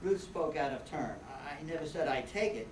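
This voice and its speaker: An amateur actor playing Harry, an earnest younger brother and newlywed in an old-time radio drama. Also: male voice, on the high side, expressive, fairly quick, lightly acted. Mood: apologetic. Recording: distant echoey room, hiss.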